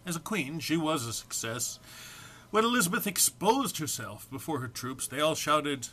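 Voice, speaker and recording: A man's voice: a little high, sing-song, normal speed, bright, forceful tone, husky. A man giving a theatrical, amused dramatic reading of a humorous written text, with emphatic phrasing. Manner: amused, prone to breaking into laughter. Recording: faint background noise.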